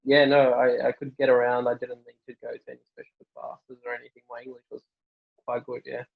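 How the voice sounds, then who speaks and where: A young man from Norway, Norwegian native, a family doctor answering interview questions in a relaxed way with many fillers and restarts. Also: medium pitch, a little fast, vocal fry, phone-quality sound.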